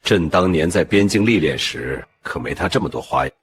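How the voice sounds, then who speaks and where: A man playing a shrewd, proud old emperor in an animated palace drama, measured and regal. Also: low-pitched. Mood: amused, fond. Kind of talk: Chinese animated web drama voice acting.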